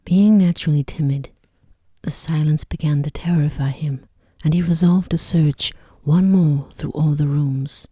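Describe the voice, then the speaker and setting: A woman reads a sleep story in a hushed, measured ASMR style. Her voice is on the low side and extremely dark and soft in tone. The sound is close and dry, with hardly any room around it. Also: slightly rough, no room sound. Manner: hushed, gentle, measured reading. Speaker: narrator of sleep stories.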